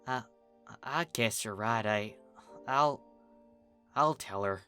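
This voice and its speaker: Narrator acting a halting, anxious farm pony. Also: medium pitch, bright, forceful tone, soft background music. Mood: nervous, resigned. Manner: halting, stammering, folksy. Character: humble, anxious female farm pony with folksy speech.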